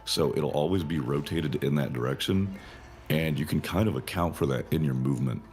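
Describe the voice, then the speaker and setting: A man's voice: rumbling low, fairly quick, darkish tone. A man who works as a game developer, answering interview questions in a rambling way, thinking aloud with lots of fillers.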